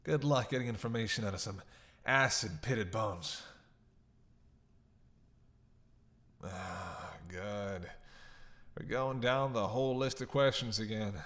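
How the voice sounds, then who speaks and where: Guy doing a sarcastic flirty vampire prisoner for a roleplay audio, drawls it out slow, mid-pitched, defiant and teasing. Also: animated, fairly bright tone. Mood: irritated, defiant. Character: snarky captive male vampire, playful, defiant, flirting. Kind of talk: roleplay audio drama acting.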